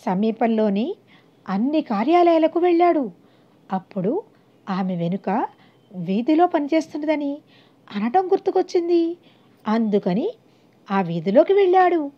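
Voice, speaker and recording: A woman's voice: sits high, very animated, unhurried, pauses often. A woman narrating a scripted moral story as an audiobook narrator, on a dull, lo-fi recording.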